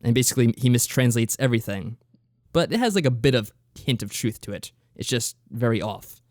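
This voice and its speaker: A man with a mid-pitched voice talks casually as a podcast co-host. The sound is dry with no room echo, and he explains things plainly with a deadpan, sarcastic edge. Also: brisk. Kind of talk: casual review chat.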